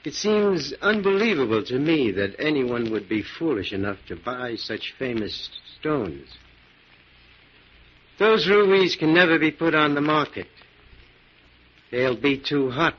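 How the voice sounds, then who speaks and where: Husky, slangy underworld moneylender. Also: male voice, fairly high pitch, very animated, a little slow, exaggerated voice. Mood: skeptical. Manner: blunt, slangy, hard-bargaining. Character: tough, wary underworld moneylender with slangy talk. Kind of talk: 1940s radio drama acting. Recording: muffled old 1940s radio recording.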